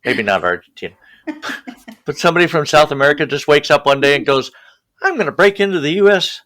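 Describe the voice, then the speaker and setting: A man hosting a podcast, talking in an earnest, halting way and often repeating his words. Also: a little high, lively intonation, a bit bright. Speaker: podcast host. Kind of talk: conversational interview.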